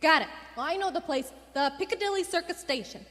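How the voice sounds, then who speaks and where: Easygoing young boy, extremely high, bright, lively, radio play. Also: a little fast, full character voice. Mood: carefree. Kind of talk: stage radio play acting. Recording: echoey theatre hall.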